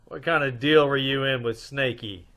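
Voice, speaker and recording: A man playing a brisk, suave, wisecracking private detective in an old-time radio comedy-mystery. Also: mid-range voice, sharp tone, close to an everyday voice.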